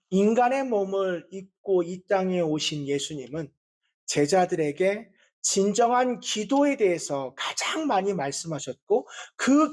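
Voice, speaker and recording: A male pastor giving a Sunday sermon in an earnest, measured way, with a voice he calls not strong and easily strained. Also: sits high, a little mellow. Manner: earnest, with measured pauses and frequent fillers.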